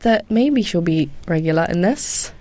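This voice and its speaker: Young woman on a podcast, lowish voice, dry close sound, giggles a lot. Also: expressive, moderate pace, warm tone, no echo. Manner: laughing, self-deprecating. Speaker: podcast co-host. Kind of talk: casual chat.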